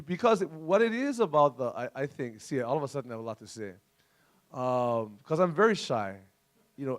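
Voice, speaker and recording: Animated man on a panel. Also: medium pitch. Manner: animated, self-deprecating, digressive. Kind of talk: panel discussion.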